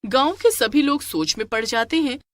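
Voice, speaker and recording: Woman narrator, dramatic scripted storytelling, animated intonation, dry close recording. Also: sits high, normal speed, no room sound.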